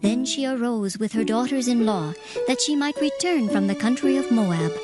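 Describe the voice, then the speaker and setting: A woman narrator reading scripture as a scripted dramatized story, in a measured, solemn storytelling manner. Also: fairly high pitch, animated. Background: music.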